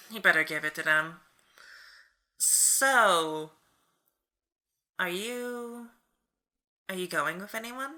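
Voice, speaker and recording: A woman's voice: lowish voice, drawn-out words, long pauses, bright, forceful tone, full character voice. Woman acting frank young female superhero. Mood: indignant. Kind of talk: audio drama acting.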